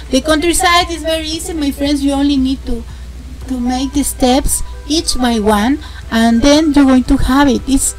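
A young woman with a Mexican accent, a host narrating a casual game tutorial, halting and repeating words as she explains. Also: high voice, rough recording. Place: home, indoors.

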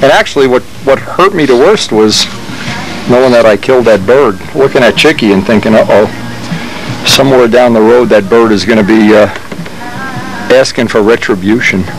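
A man's voice: mid-range voice, normal speed, soft background music. A man giving a casual hands-on how-to, talking unscripted as he works, as a hobbyist showing a repair. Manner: unscripted, thinking aloud while working. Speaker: hobbyist demonstrating model plane repair. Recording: noisy workshop with steady machine hum.

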